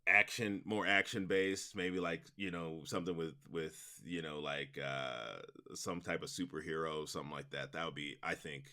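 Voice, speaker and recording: A man's voice: very deep voice, steady tone, moderate pace, hardly any pauses, sharp tone. A man being interviewed about his audio drama work, answering casually with many fillers and restarts. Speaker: guest, audio drama producer and director.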